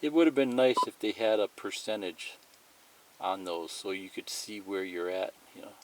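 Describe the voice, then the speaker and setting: A man's voice: fairly low pitch, normal speed, background noise. A man giving a plain, methodical, unscripted step-by-step demonstration of a product as a tutorial presenter.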